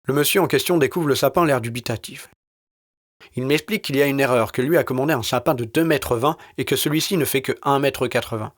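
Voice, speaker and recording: A man reading a scripted first-person short story in a studio, with an understated, deadpan and colloquial delivery. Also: mid-range voice, quick pace. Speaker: narrator of his own audio short story. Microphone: studio recording.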